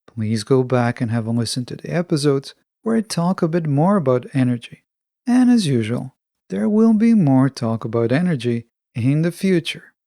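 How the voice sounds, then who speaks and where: A man hosting a podcast, delivering a scripted solo monologue in a measured, instructional way, speaking straight to listeners. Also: on the high side, creaky, darkish tone, no echo, studio quality. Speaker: podcast host.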